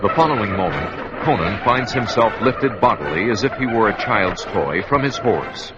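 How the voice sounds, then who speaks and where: A man's voice: on the low side, expressive, moderate pace, loud music behind the voice. Man narrating an old radio adventure. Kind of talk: dramatic radio drama narration. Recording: muffled, hissy vintage radio recording.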